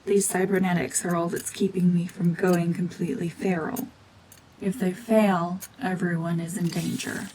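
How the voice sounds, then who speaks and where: A woman voicing a cold, mean renegade gorgon witch in a hushed, half-whispered ASMR drama, domineering and sardonic. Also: on the low side, level tone, moderate pace, slight background noise, lightly acted, low-quality recording. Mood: guarded, grim.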